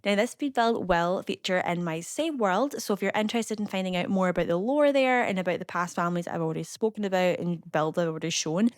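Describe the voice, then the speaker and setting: A young Scottish woman chatting casually as a voice-over, rambling with lots of fillers and quick asides. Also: lowish voice, no room sound, clean studio sound. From Scotland. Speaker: YouTuber narrating a game build.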